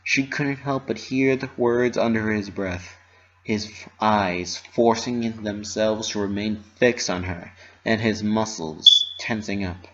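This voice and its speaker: A narrator giving an expressive, theatrical dramatic reading of a story. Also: medium pitch, slow pace, lo-fi sound. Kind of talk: dramatic reading of fan fiction.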